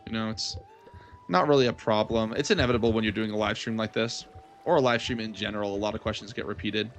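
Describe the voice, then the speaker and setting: A man's voice: fairly low pitch, steady tone, quick pace, soft background music. A young man chatting casually as he hosts a gaming livestream, rambling and thinking aloud as he answers his viewers. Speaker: livestream gamer host.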